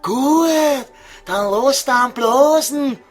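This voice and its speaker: A man's voice: extremely high pitch, drawn-out words, faint music behind, full character voice. A man voicing a sly, crude devil who coaxes and mocks in Viennese dialect, in an audio comedy sketch. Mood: coaxing, mocking. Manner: coaxing, vulgar, mocking.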